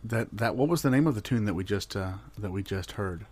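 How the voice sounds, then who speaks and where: A man's voice: fairly low pitch, lively intonation, brisk, darkish tone, slightly rough, no echo. A man playing an earnest music interviewer in an improvised mock interview, hesitant and repeating his words. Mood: earnest, curious. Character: halting, earnest male radio music interviewer.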